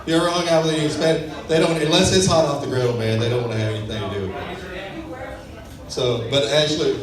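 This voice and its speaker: West Texas stand-up comic in echoey club. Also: male voice, on the high side, quick pace. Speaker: stand-up comedian. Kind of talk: stand-up comedy set. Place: comedy club stage. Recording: echoey club sound from far off with a crowd.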